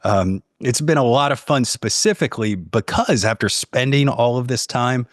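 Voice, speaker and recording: A man's voice: mid-range voice, moderate pace, no room sound, clean studio sound. A man chatting casually as a podcast guest, with informal, loose phrasing. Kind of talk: casual interview chat.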